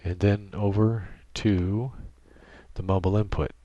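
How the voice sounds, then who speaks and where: Guy doing a techy walkthrough, deep voice, dry close mic, methodical n kinda halting. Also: steady tone, warm tone, dry recording, lo-fi sound. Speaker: tutorial presenter. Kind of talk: unscripted software tutorial, step-by-step explanation. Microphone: close USB microphone.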